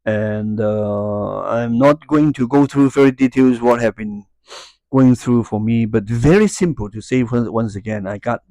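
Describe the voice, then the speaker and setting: A man's voice: mid-range voice, unhurried, dark tone, no echo. A man in his fifties from Hong Kong, speaking Hong Kong English and raised speaking Cantonese, talks to camera in a rambling way, with many fillers and restarts. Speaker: YouTuber. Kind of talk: casual monologue to camera.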